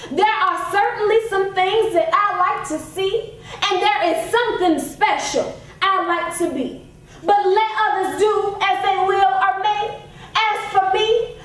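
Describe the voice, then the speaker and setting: A woman's voice: very high voice, fairly bright tone. A woman in her twenties from Savannah, Georgia, giving an emphatic, impassioned dramatic poetry recitation. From Savannah, Georgia, United States. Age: 20s. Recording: very echoey hall, distant microphone.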